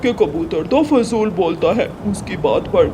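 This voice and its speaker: A man's voice: sits high, animated, a little slow, background noise. A man voicing an earnest male crow in a children's cartoon fable, speaking emphatically. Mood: blaming. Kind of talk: Hindi moral-story cartoon dubbing.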